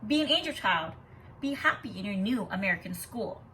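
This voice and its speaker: A woman voicing a gentle, loving mother who counsels her small daughter in a storybook. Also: mid-range voice, expressive, brisk, far from the mic, slight background noise. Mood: tender, hopeful.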